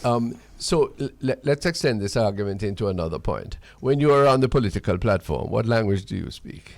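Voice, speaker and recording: A man's voice: fairly low pitch, expressive, fairly quick, vocal fry, dry recording. A Jamaican man hosting a radio talk in spirited debate, speaking in articulate, formal wording with frequent repeated words. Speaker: radio host.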